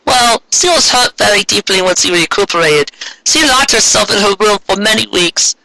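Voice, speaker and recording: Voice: mid-range voice, lively intonation, moderate pace, pushed and bright, low-quality recording. A performer voicing an earnest, remorseful teenage girl magical heroine in a dramatic fan fiction reading. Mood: sad, explaining.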